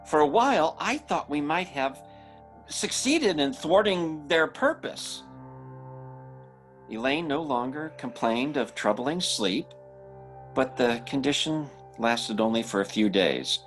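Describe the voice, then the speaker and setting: Haunted young narrator for radio horror: nervous, halting, slow, with big swings in pitch. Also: male voice, animated, a bit bright. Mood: grave narration. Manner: halting, stammering delivery. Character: anxious young man narrating a supernatural horror tale. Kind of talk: old-time radio drama acting. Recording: old-time radio drama with faint music.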